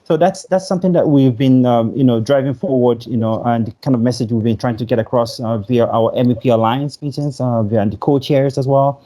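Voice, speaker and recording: A man's voice: mid-range voice, fairly quick, few pauses, mellow. A man guest speaking earnestly about mental health policy, with many "you know" fillers. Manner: filler-heavy, earnest advocacy. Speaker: guest from a European alliance. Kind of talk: interview on livestream.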